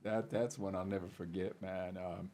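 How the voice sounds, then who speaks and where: A man's voice: deep voice, steady tone, normal speed, warm tone. An older man, a comedian guest on a podcast, telling anecdotes in a dry, matter-of-fact way and acting out the people in his stories. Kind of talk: casual podcast storytelling.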